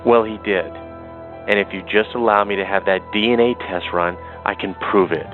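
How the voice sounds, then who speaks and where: An actor playing a brash, defiant younger brother in an audio soap opera, cocky and needling. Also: male voice, fairly low pitch, steady tone, moderate pace, faint music behind, lightly acted. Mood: insistent. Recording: dull, phone-like narrow sound.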